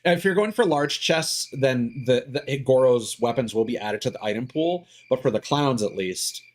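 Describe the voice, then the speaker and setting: Male game streamer, average pitch, casual and explanatory, halting delivery with thinking-aloud asides. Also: expressive. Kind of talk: casual live gameplay commentary.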